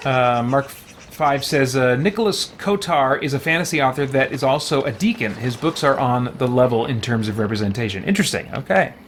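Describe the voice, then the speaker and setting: A man's voice: medium pitch, expressive, a little fast, a little hiss or hum. A man doing casual live gameplay commentary as a streamer, thinking aloud and reading things out. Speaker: game streamer. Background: faint game music.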